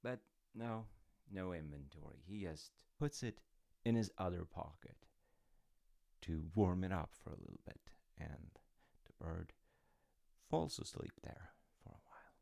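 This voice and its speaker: A man playing a gentle, wryly amused dad who tells his kid a folktale in an unhurried, musing, conversational way for an audio roleplay. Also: low-pitched, vocal fry, no room sound, clean studio sound. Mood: gently amused. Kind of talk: audio roleplay, rambling bedtime-style storytelling.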